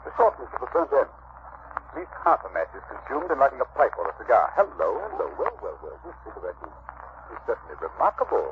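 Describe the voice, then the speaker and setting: An actor playing a self-assured, sharp-minded gentleman detective with a British accent, clipped and theatrical, in 1940s radio style. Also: on the low side, sing-song, fast. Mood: keen, assured. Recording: tinny, noisy vintage radio recording.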